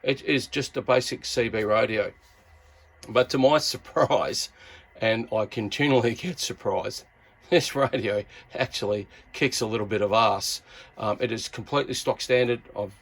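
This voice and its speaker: A man's voice: medium pitch, creaky. Laid-back Australian man chatting about radio gear. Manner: laid-back, colloquial, blokey. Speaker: YouTube radio hobbyist and reviewer. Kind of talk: casual hands-on gear review with CB radio chatter.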